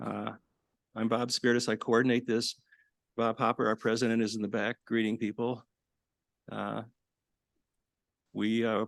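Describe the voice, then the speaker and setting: Halting man with a gravelly low voice. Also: dry recording. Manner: halting, many fillers and restarts. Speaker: coordinator introducing the speaker. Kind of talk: live lecture introduction. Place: lecture hall with live audience. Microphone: podium microphone.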